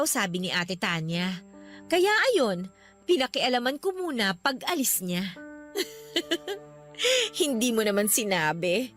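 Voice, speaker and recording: Flirtatious scheming younger sister, high voice, animated swings, teasing, giggly, radio drama. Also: female voice. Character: scheming, flirtatious younger sister seducing her brother-in-law. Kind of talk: Tagalog radio drama acting.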